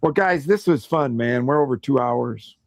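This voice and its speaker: A man hosting a casual live stream, loud voiced and prone to rambling restarts. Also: medium pitch, moderate pace, gravelly, dry recording, clean studio sound. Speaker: host.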